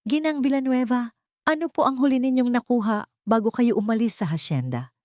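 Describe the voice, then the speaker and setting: A woman's voice: fairly high pitch, brisk, a little mellow, no room sound, studio quality, pitched above the performer's own voice, lightly acted. A woman voicing a firm, composed attorney addressing a court in a dramatic audio story. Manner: firm, formal.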